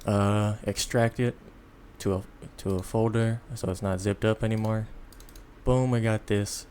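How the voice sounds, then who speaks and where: Low-voiced man, casual tutorial delivery. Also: fairly even intonation, a little mellow, a little hiss or hum. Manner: informal, halting step-by-step delivery. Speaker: tutorial presenter. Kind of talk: casual unscripted software tutorial.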